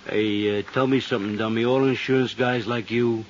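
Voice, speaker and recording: A man performs a dull-witted heavy from an old radio serial. He threatens in simple slangy phrases at an average pitch. The sound is muffled and slightly noisy, like a fifties broadcast. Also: fairly even intonation, brisk, close to an everyday voice. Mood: sullen menace. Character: dim, menacing male thug sidekick. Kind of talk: 1950s radio crime drama acting. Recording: muffled, faintly noisy 1950s radio recording.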